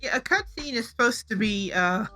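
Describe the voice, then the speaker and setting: A woman's voice: a little high, expressive, normal speed, sharp tone. A woman co-hosting a casual advice talk, speaking informally and conversationally. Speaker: co-host of a game-making show. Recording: music behind the voice. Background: background music.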